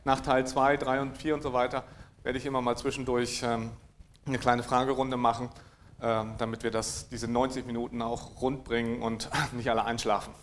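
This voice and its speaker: A man's voice: mid-range voice, flat delivery, normal speed, slight background noise. Dry-spoken man giving a technical talk. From East Frisia, northern Germany. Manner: dry, hesitant, many fillers and throat clearing. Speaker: conference speaker. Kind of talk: technical talk to a live audience.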